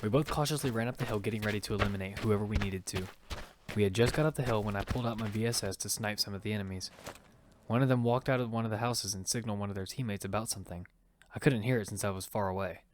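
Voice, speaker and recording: A man's voice: fairly low pitch, quick pace, noisy background, lightly acted. A man playing a hot-tempered father who narrates his own battle-royale survival story in an amateur audio drama. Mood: grim, measured. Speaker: narrator and lead actor. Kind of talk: first-person narration and dialogue in amateur audio fiction. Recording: background music under the voice.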